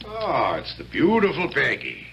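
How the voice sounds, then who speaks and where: An actor playing a pompous, dismissive Hessian colonel in a radio drama, theatrical and exuberant in manner. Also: male voice, medium pitch, wildly swooping pitch, measured pace, gravelly, heavy background noise, exaggerated voice. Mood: delighted, eager.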